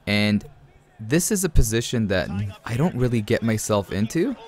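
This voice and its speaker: A man's voice: on the low side, big pitch swings, normal speed, faint music behind. A young man from Canada with a cold, chatting casually while breaking down his gameplay, often calling his listeners man and guys. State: has a cold. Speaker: gaming commentator.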